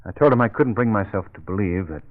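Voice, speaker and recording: Gentleman detective, male actor: low, composed, thoughtful, measured old-time radio delivery on a thin narrowband recording. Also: expressive, close to an everyday voice. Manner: measured, reasoning. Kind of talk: 1930s-40s radio drama acting.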